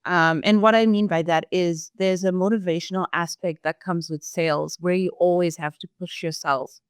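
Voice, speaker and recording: A young woman from Zimbabwe, a sales employee answering interview questions in a thoughtful, measured way, with some fillers. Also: on the low side, fairly even intonation, clear, resonant tone, no room sound, clean studio sound.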